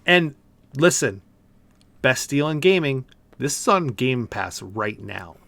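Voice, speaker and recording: A man's voice: mid-range voice, sing-song, takes it slowly, vocal fry, fairly bright tone, no room sound. A man co-hosting a gaming podcast, talking informally and enthusiastically in a casual discussion. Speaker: podcast co-host.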